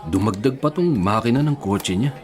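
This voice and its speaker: An actor with a Filipino accent playing a puzzled, earnest, compliant racing team staffer in a radio drama. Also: male voice, on the low side, animated, normal speed, darkish tone, natural voice. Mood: baffled. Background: music.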